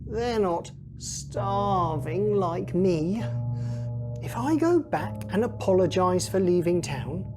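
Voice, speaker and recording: A woman's voice: fairly low pitch, animated, slow pace, music in the background. A woman voicing a remorseful young runaway son in a children's Bible storybook, earnest and pleading. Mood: hopeful reasoning.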